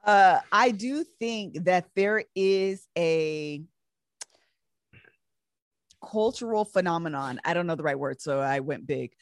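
A woman in her twenties hosting a casual chat, telling stories in an animated way. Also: on the low side, slow pace, no room sound, studio quality. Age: 20s.

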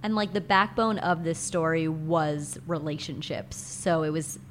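A soft-spoken woman co-hosting a book podcast, speaking quietly in casual chat. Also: fairly even intonation, unhurried, slight background noise. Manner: soft-spoken, low-key. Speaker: podcast co-host.

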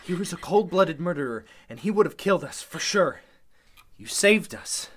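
A man's voice: sits high, lively intonation, normal speed, a bit bright. An actor playing a scheming, injured man who puts on protective concern, urgent and persuasive, in an old-time radio play. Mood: insistent. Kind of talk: Golden Age style radio play acting.

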